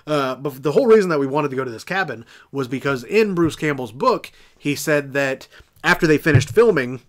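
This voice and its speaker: A man's voice: a little high, lively intonation, fairly quick, fairly bright tone. A man from southeastern Indiana with an American accent hosts a podcast, telling stories casually in a rambling way and often backtracking as he goes. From southeastern Indiana, United States. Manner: rambling, backtracking, loses his thread. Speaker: podcast host. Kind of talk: casual storytelling on a horror podcast.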